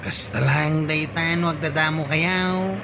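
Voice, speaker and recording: An actor playing a grumbling village companion in an Ilocano radio comedy drama, with an Ilocano accent. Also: male voice, on the high side, steady tone, measured pace, background noise. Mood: knowing.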